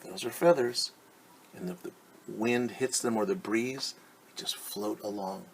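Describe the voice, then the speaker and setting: A man teaching young children through a story, reading aloud and explaining gently and patiently with questions. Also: fairly low pitch, a little slow, creaky, a little hiss or hum, low-quality recording.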